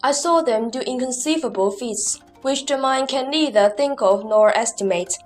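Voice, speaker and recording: A woman's voice: high-pitched, moderate pace, lightly acted. A woman playing an earnest, reverent disciple in a scripture audio drama, explaining things formally. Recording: background music under the voice.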